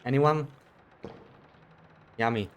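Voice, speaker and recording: A man's voice: mid-range voice, extremely slow, slight background noise. A man streaming a game live, chatting casually with viewers, thinking aloud with frequent restarts. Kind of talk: casual gameplay chat, reading viewer comments.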